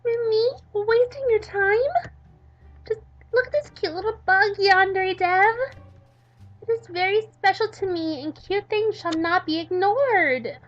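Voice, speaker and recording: A woman voicing a whiny, eager, dim teenage anime schoolgirl in a visual novel, shrill and overexcited. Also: unhurried, highs cut off. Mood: hurt, gushing.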